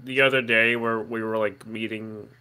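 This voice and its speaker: A man's voice: on the low side, level tone, moderate pace, pushed and bright, clean tone, low-quality recording. A man streamer chatting casually, rambling with lots of fillers and frequent giggles. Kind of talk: casual chat.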